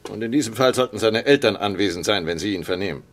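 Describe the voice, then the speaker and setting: A strict academy commandant, curt and bossy, lowish voice that jumps around, radio drama. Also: male voice, expressive, quick pace, fairly bright tone. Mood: stern, suspicious. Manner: curt, imperious, formal. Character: stern, controlling male commandant of a military academy. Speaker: actor. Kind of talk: German radio drama acting.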